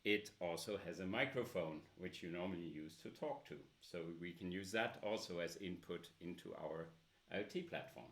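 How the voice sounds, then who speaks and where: Man, very low voice, loud, casual app demo, halting with fillers. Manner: loud voice with frequent filler pauses. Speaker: presenter demoing a smartphone app.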